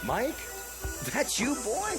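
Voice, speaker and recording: A man's voice: very high-pitched, huge pitch swings, slow pace, exaggerated voice. An actor playing a chatty, friendly small-town male neighbour in a radio drama, folksy and inquisitive. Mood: friendly, curious. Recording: music behind the voice.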